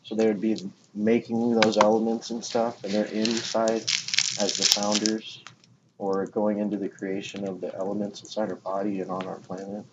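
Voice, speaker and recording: A man's voice: lowish voice, level tone, normal speed. A man chatting casually about spiritual ideas in an informal, loosely phrased way. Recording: dull lo-fi recording with clear background noise.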